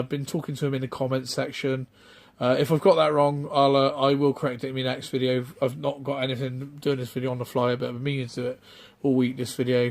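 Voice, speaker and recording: A middle-aged man, chatting off the cuff in a rambling, halting way full of ums and restarts. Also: mid-range voice. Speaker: retro game collector vlogger. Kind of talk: casual off-the-cuff vlog chat.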